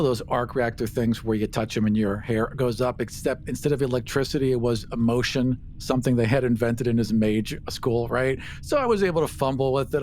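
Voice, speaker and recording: Guy player in a tabletop game, casual and breezy, medium pitch, bit of background noise. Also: a little mellow. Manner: breezy, offhand, peppered with "like" and "right?". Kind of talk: casual improvised in-character chat.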